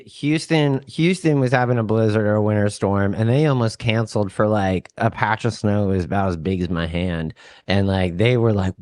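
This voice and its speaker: Laid-back low-voiced musician man. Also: a little mellow, no room sound, clean studio sound. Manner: loose, profane, rambling with lots of "like". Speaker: touring musician guest. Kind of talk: casual storytelling chat.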